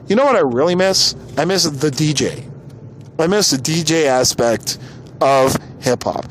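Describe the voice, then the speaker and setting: Higher-voiced American man with noisy background. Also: expressive, unhurried. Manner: exasperated, informal and emphatic. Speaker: podcast host. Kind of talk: casual opinion commentary.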